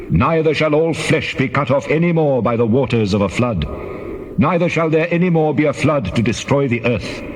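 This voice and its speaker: A solemn divine voice, performed by a man for a scripture drama, rings out through a long reverb. He declaims each decree in a measured, commanding way. The pitch is a middling male one, wrapped in heavy echo. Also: natural voice.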